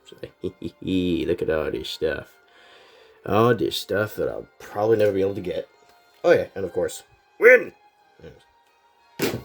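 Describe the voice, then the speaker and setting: A man's voice: sits low, measured pace, vocal fry, long pauses, faint music behind. A man casually reviewing a toy set, sounding congested and a little nasal from a cold, rambling with plenty of fillers. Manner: rambling, relaxed, lots of fillers.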